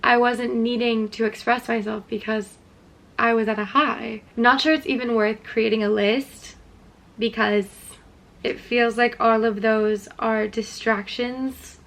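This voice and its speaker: A woman's voice: a little high, steady tone, a little slow, gravelly. A young woman with a stuffy, sniffly nose, talking candidly and reflectively to her camera in a casual vlog. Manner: candid, self-questioning, trailing off. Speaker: vlogger.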